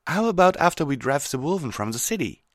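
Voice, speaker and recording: A man's voice: fairly high pitch, sing-song, fast, fairly bright tone, no room sound, clean studio sound, pitched above the performer's own voice. A man voicing an earnest, sensible female unicorn mage from a cartoon, speaking articulately. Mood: resigned, reassuring.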